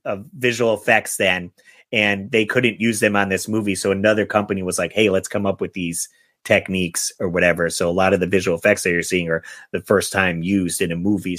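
Low-voiced man chatting casually as host. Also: a bit bright. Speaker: podcast host running a guessing game. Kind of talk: casual movie chat.

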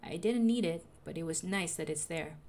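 A young woman with a soothing voice, calmly narrating a personal audio diary as a podcaster. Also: lowish voice, normal speed, creaky. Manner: calm, reflective, confessional.

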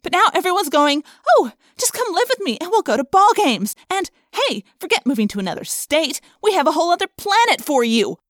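Woman acting a high, fiery teenage girl. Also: sing-song, brisk, hardly any pauses, no room sound, clean studio sound. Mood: scared, angry. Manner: loud, emphatic, defiant outbursts. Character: fiery, sarcastic, overwrought teenage girl fighter.

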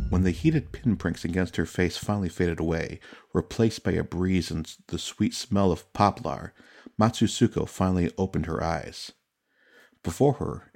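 A man reading a fantasy story as an audiobook narrator, in a measured, dramatic storytelling manner. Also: low-pitched, darkish tone.

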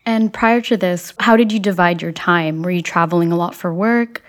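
Woman interviewer with a lower voice, calm easy questions. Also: normal speed. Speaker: interviewer, host.

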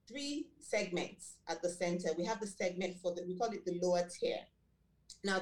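A middle-aged Nigerian woman whose first language is Yoruba, answering interview questions in a measured way with many fillers and self-corrections. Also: on the low side, darkish tone, roomy sound, low-quality recording. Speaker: guest, school director and behavior analyst.

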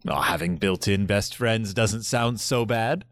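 An actor in an audio drama playing a courteous, lonely grandfather in his seventies, speaking gently and reflectively. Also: on the low side, measured pace, music in the background, lightly acted. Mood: gentle, courteous.

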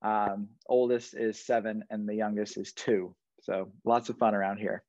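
A man's voice: lowish voice, level tone, moderate pace, darkish tone, dry recording, muffled recording. A man who works as a youth pastor, introducing himself in a friendly, easygoing way and laughing often. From Jacksonville, Florida. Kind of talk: casual self-introduction.

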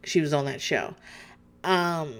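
A woman's voice: deep voice, slow pace. A woman host chatting casually as she recaps a reality show, swearing freely and laughing often.

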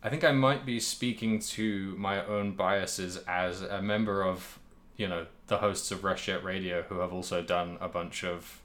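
A man's voice: deep voice, steady tone, a little slow, fairly bright tone. A man co-hosting a podcast in casual chat about a video game, rambling and thinking aloud. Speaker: podcast co-host.

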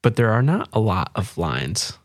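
A man's voice: on the low side, big pitch swings, moderate pace, no room sound. Dry-sounding male podcast interviewer. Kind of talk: casual interview questioning.

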